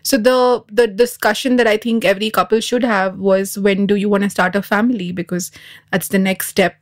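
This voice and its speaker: A woman's voice: medium pitch, level tone, normal speed. A woman in her thirties from Dubai, a podcast guest sharing personal experiences in a candid, reflective way. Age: 30s. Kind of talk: personal storytelling in an interview.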